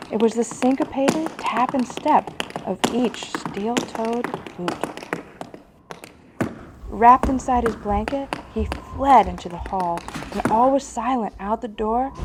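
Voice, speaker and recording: Unhurried woman narrating a rhymed story. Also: a little high, noisy background. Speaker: host and narrator.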